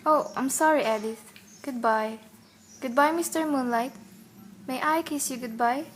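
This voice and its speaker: A woman's voice: high voice, a little slow, a little hiss or hum. A woman acting an anguished, wistful wife who never ages, in a dramatic radio play. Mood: subdued, wistful. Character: anguished wife, Sarah Moonlight, cursed to stay forever young. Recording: radio play with loud music behind.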